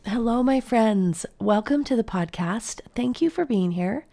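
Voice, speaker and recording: A woman's voice: fairly high pitch, lively intonation, measured pace, vocal fry, mellow, soft background music. A middle-aged woman hosting her podcast and reading announcements, stuffy from a cold, upbeat and encouraging. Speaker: podcast host and life coach. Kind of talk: scripted podcast intro and announcements.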